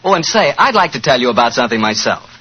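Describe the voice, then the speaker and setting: A man's voice: medium pitch, sing-song, fast. An American man working as a 1940s radio announcer, with a polished, emphatic sales delivery. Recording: muffled, hissy 1940s radio recording.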